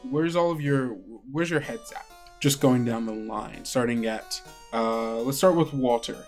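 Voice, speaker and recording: A man runs a tabletop game, setting scenes conversationally at an unhurried, slow pace. Music plays softly behind his medium-pitched voice. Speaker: game master. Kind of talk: improvised tabletop game narration.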